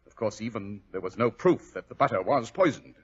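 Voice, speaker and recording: Self-assured British gentleman detective, didactic, animated delivery, muffled 1940s radio sound. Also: on the low side, brisk, husky. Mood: coolly explaining.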